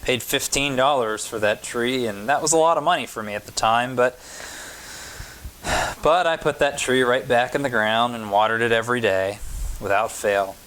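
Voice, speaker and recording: A man's voice: medium pitch, moderate pace, a bit bright, slight background noise, natural voice. A man voicing a kind, patient older woman who gardens and reminisces in a storybook. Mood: reminiscing. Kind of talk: storybook character dialogue.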